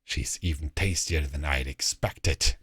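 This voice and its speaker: A man's voice: extremely low pitch, a little slow, fairly bright tone, husky, pitched below the performer's own voice, heavily acted. Man playing a hungry, imperious old ogress queen. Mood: greedy satisfaction. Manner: urgent, commanding.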